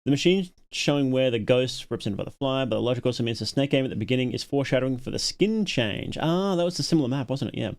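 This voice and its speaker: Male streamer, mid-pitched, thinking out loud in casual reaction commentary. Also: lively intonation, fast talker, few pauses. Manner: muses aloud, reads viewer comments. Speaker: livestream host reacting to a horror series.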